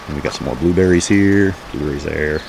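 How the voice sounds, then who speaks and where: A man with an exceptionally deep voice hosts a casual walk-through vlog. He talks informally and off the cuff, pointing things out as he goes. The recording is made outdoors, with clear background noise around his voice. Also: a little fast. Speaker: host of a homesteading vlog. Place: outdoors in a backyard garden.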